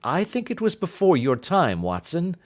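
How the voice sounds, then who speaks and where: A man voicing a brisk, confident, courteous gentleman detective in an audiobook, speaking in precise, formal Victorian phrases. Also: on the high side, huge pitch swings, voice pushed up. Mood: courteous, businesslike. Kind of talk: audiobook character voice.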